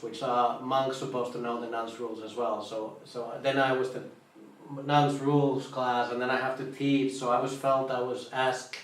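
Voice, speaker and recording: A man with a Finnish accent, a Buddhist monk giving an informal meditation talk, halting as he searches for English words. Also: mid-range voice. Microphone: online live stream, distant mic. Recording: very echoey room, far from mic.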